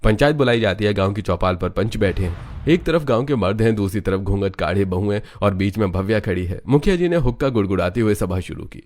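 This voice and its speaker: Low-pitched male story narrator. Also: animated, fast, a little mellow, a little hiss or hum.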